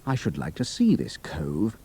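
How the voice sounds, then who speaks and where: Lively, brisk young British airman. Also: rumbling low, breathy edge, heavily acted. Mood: clipped, cool. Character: young British flying officer working undercover, brisk and plucky. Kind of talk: audio drama acting.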